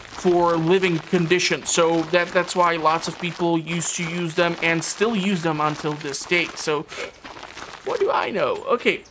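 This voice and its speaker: A woman casually commenting on her farming game, rambling and tripping over words with joking asides. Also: fairly low pitch. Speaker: gameplay commentator. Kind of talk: casual let's-play commentary. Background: game soundtrack.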